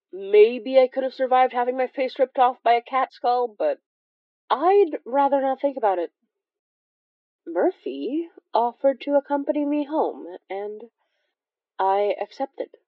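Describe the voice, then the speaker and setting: A woman's voice: on the high side, lively intonation, a little slow, no echo, phone-quality sound. An actress playing a young Filipino immigrant woman who recounts horrors in a conversational, hesitant, wry way for an audio drama. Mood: wry, light. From Philippines. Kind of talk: audio drama first-person confessional narration.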